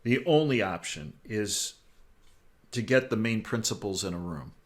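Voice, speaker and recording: A man with an American accent and a fairly low voice speaks as an interview host. He phrases his points in a measured, emphatic, conversational way. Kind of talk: conversational current-affairs interview.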